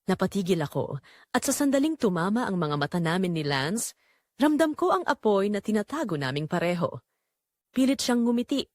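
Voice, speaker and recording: Female storyteller for romantic audio drama: expressive, varied intonation, studio-dry sound. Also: medium pitch, moderate pace, no room sound. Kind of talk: scripted first-person dramatic narration.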